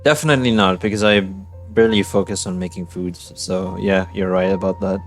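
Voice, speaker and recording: A man's voice: low voice, fairly even intonation, fairly quick, over music. A young man casually commenting on a game he is playing, with dry, sarcastic asides. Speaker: gaming streamer.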